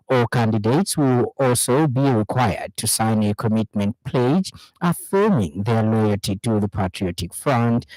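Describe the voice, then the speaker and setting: A man with a Zambian accent reading a scripted radio news bulletin in a formal, measured announcing manner. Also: lowish voice, a little mellow, no room sound, poor audio.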